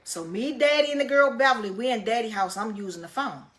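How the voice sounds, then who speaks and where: A woman with a loud voice, casually telling a personal story in a profane, animated way and repeating phrases for emphasis. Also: on the high side, fast talker.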